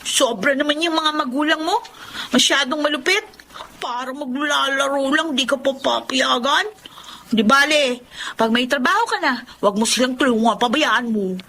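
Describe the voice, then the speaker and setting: High-voiced, pushy schoolgirl friend. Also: normal speed, bright, forceful tone, slight background noise. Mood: coaxing, scornful. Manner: coaxing, insistent. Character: pushy, carefree schoolgirl friend who urges rebellion. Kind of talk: Tagalog radio drama acting.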